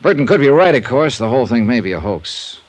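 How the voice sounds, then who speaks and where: An American actor playing a hardboiled, sardonic insurance investigator in a 1950s radio drama, speaking in a clipped, wry way. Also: male voice, medium pitch, big pitch swings, quick pace. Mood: probing, dry. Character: hardboiled wry male insurance investigator, narrating his cases. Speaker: lead actor and narrator. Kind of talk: 1950s radio detective acting. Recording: noisy old radio recording.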